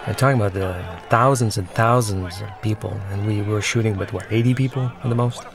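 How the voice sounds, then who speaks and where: A man with a fairly deep voice and a noticeable fry talks through his own film. He explains scenes in a reflective, halting, conversational way, with soundtrack music clearly underneath. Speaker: filmmaker giving commentary on own film. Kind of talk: unscripted film commentary, conversational.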